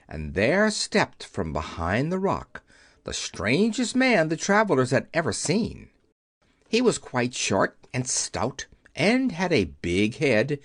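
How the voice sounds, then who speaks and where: A man's voice: mid-range voice, no echo, studio quality. A man narrating a children's audiobook, telling the story as a scripted reading.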